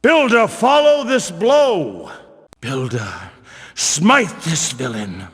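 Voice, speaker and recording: Zealous, declaiming medieval temple guard in reverb. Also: male voice, high-pitched, big pitch swings, takes it slowly, fairly bright tone, breathy edge, heavily acted. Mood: furious, righteous. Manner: archaic pompous speech, declaiming. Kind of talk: theatrical video game voice acting. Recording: long cavernous reverb.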